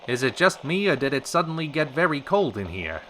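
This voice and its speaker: A man's voice: fairly high pitch, very animated, fairly quick, pushed and bright, slight background noise. A man playing a wry, chivalrous radio talk show host in a polished, theatrical old-time style. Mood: showman-like.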